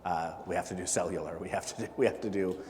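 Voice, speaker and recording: A man with a fairly low voice talks to a gathered group, stopping often with fillers and restarts. His words ring in an echoey hall with a long tail of reverb. A faint hiss of noise sits under the recording. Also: steady tone, a little mellow. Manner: halting, many fillers and restarts. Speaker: political candidate. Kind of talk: town hall talk.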